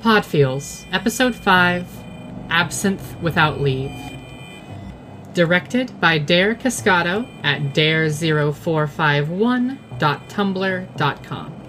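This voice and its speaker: A woman's voice: lowish voice, animated, takes it slowly, fairly bright tone, slight background noise. A woman reading scripted credits as an announcer, carefully listing and spelling out items. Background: music.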